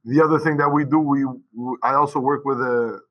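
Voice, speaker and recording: Rambling male teacher on a video call, casual panel talk, mid-pitched, lots of restarts and asides. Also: steady tone, brisk, lo-fi sound. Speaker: high school Portuguese teacher. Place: school.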